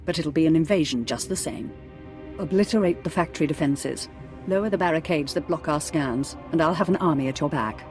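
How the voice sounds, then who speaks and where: A woman's voice: low-pitched, brisk, close to an everyday voice. A woman playing a stern, calculating governor in a video game, speaking formally and with clipped command. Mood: stern, urgent. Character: stern, calculating Imperial governor briefing an agent. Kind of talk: video game voice acting, briefing. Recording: loud music under the voice.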